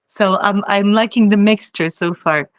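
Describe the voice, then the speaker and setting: A woman's voice: medium pitch, moderate pace, dry recording. A young woman from Czechia, a singer-songwriter guest, talking casually in an interview, rambling with lots of "you know" and easy laughs.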